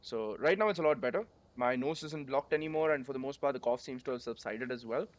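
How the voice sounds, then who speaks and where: A man's voice: medium pitch, fast. A young man with a sore throat from a cold, chatting casually and rambling while coding on a livestream. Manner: rambling, chatty, many fillers.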